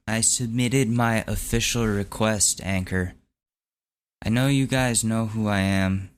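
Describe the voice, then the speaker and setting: Young male podcast host, low-pitched, candid rambling monologue, slightly strained voice. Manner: rambling, candid and wry, with restarts and swearing. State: voice recovering from hoarseness. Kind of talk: casual solo podcast monologue.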